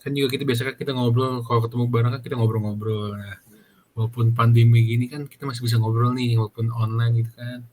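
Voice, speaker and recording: A young man hosts a student podcast. His mid-pitched voice stays fairly monotone while he chats informally. There is light noise in the online recording. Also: fast talker. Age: young adult. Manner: informal, slangy, frequent "gitu kan" tags. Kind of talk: casual podcast chat.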